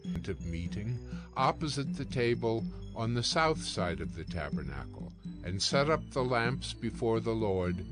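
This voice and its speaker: A man narrating scripture from a script in a measured, solemn reading manner. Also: on the low side, sing-song. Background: music.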